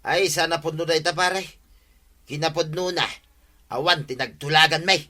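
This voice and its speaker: Sharp, forceful aggrieved village husband. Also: male voice, a little high, steady tone, normal speed. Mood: indignant. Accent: Ilocano. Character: aggrieved hot-headed village husband, stubborn complainer. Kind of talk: Ilocano radio comedy drama acting.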